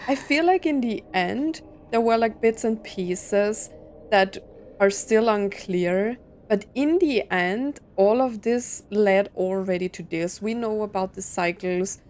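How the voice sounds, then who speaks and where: A woman's voice: mid-range voice, slow, darkish tone, a little hiss or hum. A woman giving casual reaction commentary as a gaming streamer, thinking aloud and asking questions.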